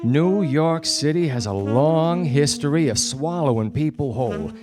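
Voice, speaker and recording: Man playing a wry 1940s gumshoe with lively pitch. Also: unhurried. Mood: hard-boiled, narrating. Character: wry, patient 1940s gumshoe detective narrating his case. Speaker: actor playing the lead and narrator. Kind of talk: 1940s detective radio play. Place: theatre stage before a studio audience. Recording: live radio play, music underneath.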